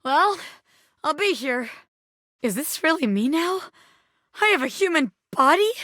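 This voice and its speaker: High, bright, brash gangster showgirl. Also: no room sound, studio quality, heavily acted. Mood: impatient, then amazed, excited. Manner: streetwise gangster slang, cocky, theatrical. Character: brash, scrappy bobby pin, ex-gangster turned flirty magician showgirl. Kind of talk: animated video game character acting.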